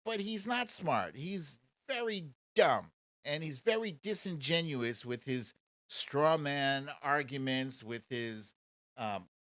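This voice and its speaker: Animated, unhurried American man, chatty. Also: a little high, dry recording, clean studio sound. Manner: chatty, colloquial, mocking, laughs easily. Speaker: host of a live commentary show. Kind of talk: casual livestream commentary, reading and answering viewer questions.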